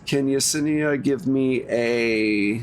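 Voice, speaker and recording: Man, game master, medium pitch, conversational improvised narration, rules talk, noisy background. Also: slow pace. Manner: conversational, narrates in second person. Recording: noisy recording with clear background noise.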